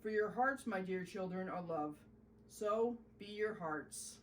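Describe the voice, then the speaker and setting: A woman's voice: medium pitch, slow, distant mic, a little hiss or hum, lightly acted, rough recording. A woman voicing a tender, motherly spirit of children in a storybook, speaking with solemn reassurance. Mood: loving, earnest.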